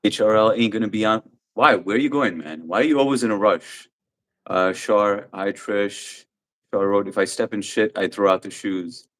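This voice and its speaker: A man's voice: on the low side, normal speed, fairly bright tone. A man hosting a casual livestream, greeting viewers and reading the chat aloud in an easygoing way. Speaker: livestream host.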